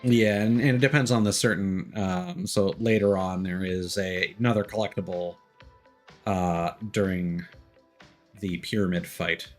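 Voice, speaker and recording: A man's voice: sits low, steady tone, measured pace, soft background music. A man giving casual livestream commentary on a video game, rambling and thinking aloud.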